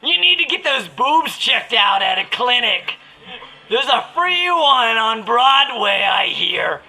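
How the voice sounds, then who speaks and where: A woman's voice: on the high side, very animated, slow pace, vocal fry, bright, forceful tone, soft background music, heavily acted, low-quality recording. A woman playing an eccentric, boastful, lewd professor in a goofy, theatrical radio drama parody. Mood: boastful, loud. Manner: theatrical, goofy, reads stage directions aloud. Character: eccentric, boastful, lewd astronomy professor, nerdy oddball man. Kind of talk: parody of 1930s radio drama, partly improvised.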